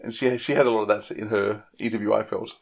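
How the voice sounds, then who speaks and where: Fast-talking, rambling male podcast panellist. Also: lowish voice, steady tone, gravelly. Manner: rambling, repeats words mid-sentence. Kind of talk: casual chat.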